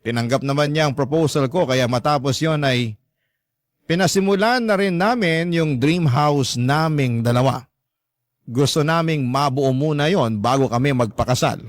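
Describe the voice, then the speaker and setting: A man's voice: fairly high pitch, animated, normal speed, no echo, studio quality. A man with a Filipino accent narrating a scripted first-person story for a radio drama.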